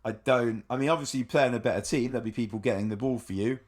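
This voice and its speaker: A man co-hosting a podcast who chats casually about football in an animated way, with chuckles and quick asides. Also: mid-range voice. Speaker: podcast co-host.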